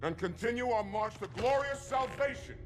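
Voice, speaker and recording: An actor playing a proud, zealous alien warrior commander, declaiming solemnly in a video game over loud music. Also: male voice, very high voice, animated, normal speed. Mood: fervent, solemn. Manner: declamatory, fervent. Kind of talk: solemn military oratory, video game acting. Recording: loud cinematic music behind voice.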